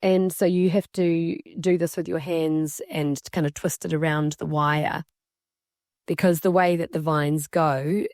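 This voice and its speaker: A woman interpreting a signer's casual story aloud, in halting, conversational phrases. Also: sits low, a little mellow, no echo, studio quality. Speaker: sign language interpreter voicing a signer.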